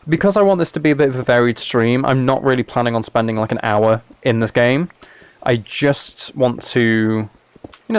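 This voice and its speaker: A man's voice: medium pitch, clear, resonant tone. A man live streaming a video game, chatting casually and thinking aloud in an understated, rambling way. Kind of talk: casual gameplay livestream chat. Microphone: microphone set up in front of him.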